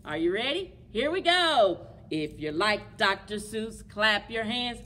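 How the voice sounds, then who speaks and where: A middle-aged woman hosting a children's story time in an animated, encouraging way, as if leading little listeners along. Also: medium pitch, unhurried. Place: indoors among library bookshelves. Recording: clear background noise, room ambience.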